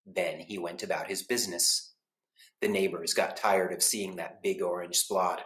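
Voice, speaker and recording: A man narrating a children's storybook as a librarian would, expressively, with deliberate pauses between phrases. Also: sits low, steady tone, fairly bright tone. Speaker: school librarian reading aloud. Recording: echoey room.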